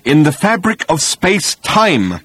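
A man voicing an eccentric, impatient alien traveller in an audiobook, speaking with dramatic, deliberate emphasis. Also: mid-range voice, very animated, slightly rough. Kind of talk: audiobook character voice.

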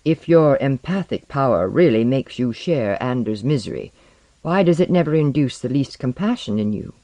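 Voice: medium pitch, expressive, normal speed, dark tone, no room sound, close to an everyday voice. A narrator voicing a stern, self-possessed woman with a firm, clipped, challenging manner. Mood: indignant.

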